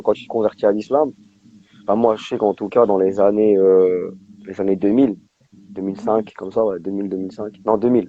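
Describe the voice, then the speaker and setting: A man's voice: lowish voice, mellow, slight background noise. A man telling things informally and animatedly with slang, in a casual live discussion. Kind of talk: casual chat. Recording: dull, lo-fi live stream audio.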